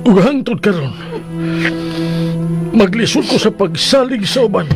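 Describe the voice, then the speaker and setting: Casting: possessive young Filipino husband, radio drama; creaky voice, theatrical, unhurried, childishly needy, over loud music. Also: male voice, high voice, expressive. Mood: wounded, pleading. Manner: theatrical, swinging from barked demands to pleading.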